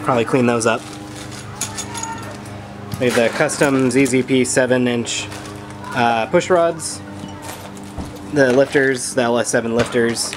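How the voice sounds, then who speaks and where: A young man hosting a garage vlog, chatting casually as he works on an engine, relaxed with lots of fillers. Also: mid-range voice, a little slow, pauses often, music in the background. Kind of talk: casual chat while working on an engine. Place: home garage. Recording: noisy garage recording.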